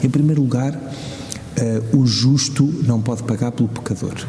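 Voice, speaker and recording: A man's voice: mid-range voice, moderate pace, warm tone, slight background noise. A man from Portugal with a European Portuguese accent, speaking as a community leader in an interview, articulate and emphatic with rhetorical questions. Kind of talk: interview answers. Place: large reverberant room in a mosque. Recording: hall-like long reverb.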